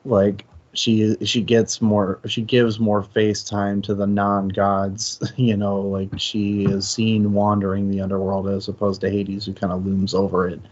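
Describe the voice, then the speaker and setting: A man's voice: lowish voice, completely flat delivery, unhurried, few pauses, a little mellow, lo-fi sound. A man in a casual book discussion, talking as a host in a hesitant, conversational way with asides.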